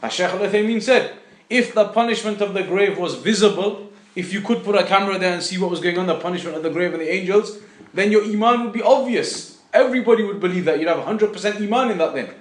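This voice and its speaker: High-pitched male religious teacher, echoey room. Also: quick pace. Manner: didactic, repeats phrases for emphasis. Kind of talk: explanatory lesson, spoken off the cuff.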